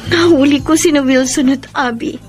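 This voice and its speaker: A woman's voice: high voice, moderate pace, a little mellow, natural voice. A woman playing a betrayed, heartbroken young woman in an emotional Filipino radio drama, with a Filipino accent. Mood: dejected, tearful. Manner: emotional, melodramatic. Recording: music bed under the voice.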